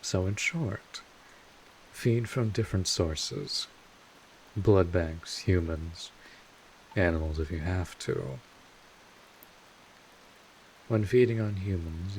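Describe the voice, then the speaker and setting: Man acting a low-voiced, slow-talking vampire mentor. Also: darkish tone, a little hiss or hum, rough recording. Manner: slow, measured, informal tutoring with wry asides. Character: gruff 150-year-old male vampire mentor, world-weary but kindly. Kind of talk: second-person audio roleplay monologue.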